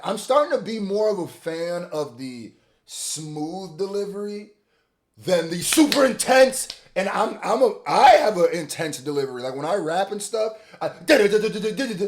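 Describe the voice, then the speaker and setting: A young man, a rapper, talking casually as he reacts to a song, repeating words as he restarts and now and then bursting out loud. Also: on the high side. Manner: loose and chatty, repeats words when restarting, sudden loud outbursts.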